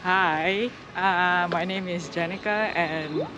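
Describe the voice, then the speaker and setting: A woman's voice: on the low side, fairly even intonation, takes it slowly, pushed and bright, a little hiss or hum. A young adult woman from Indonesia, a guest in a casual interview, speaking hesitantly with many fillers and restarts.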